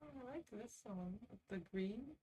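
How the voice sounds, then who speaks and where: A woman's voice: fairly high pitch, lively intonation, a little slow, mellow, distant mic, a little hiss or hum, poor audio. A woman hosting a casual livestream, chatting informally and reacting off the cuff with sarcastic asides. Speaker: livestream host. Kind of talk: casual livestream chat, reading quizzes aloud.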